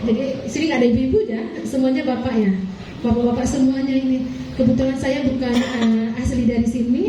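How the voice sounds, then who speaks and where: A woman's voice: sits high, steady tone, fairly quick, noisy background. Echoey distant woman addressing a hall. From Aceh. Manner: hesitant, many fillers, polite apologies. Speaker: speaker at a training session for neighborhood leaders. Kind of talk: formal public address. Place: meeting hall.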